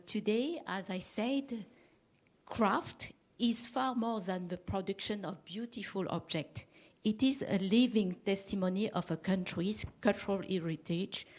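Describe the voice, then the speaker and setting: Woman panelist in her fifties, unhurried and soft-spoken, speaking into a conference mic. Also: medium pitch. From Laos. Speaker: panelist, art expert. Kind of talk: conference talk.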